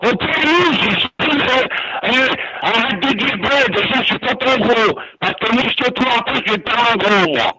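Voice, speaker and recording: A man's voice: very high-pitched, normal speed, very noisy. A man arguing heatedly on a phone call, indignant and threatening, repeating himself. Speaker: prank call target.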